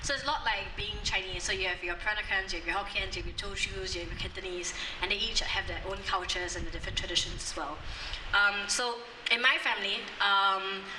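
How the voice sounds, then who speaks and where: A young woman from Singapore reciting poems and explaining them in an easy, rambling way with frequent ums. She is heard from some distance in an outdoor courtyard, with echo bouncing around her. Steady background noise sits under her voice. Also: medium pitch, a little fast. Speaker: spoken word poet. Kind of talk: poetry performance and chat. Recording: echoey, distant, noisy outdoor recording.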